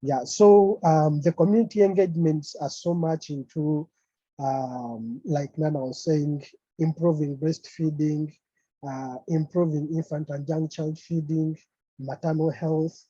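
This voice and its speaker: A man's voice: fairly high pitch, steady tone, unhurried, dark tone, no echo. A man from Uganda giving an online conference presentation, talking hesitantly with many fillers over a video call. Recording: dull, lo-fi call audio.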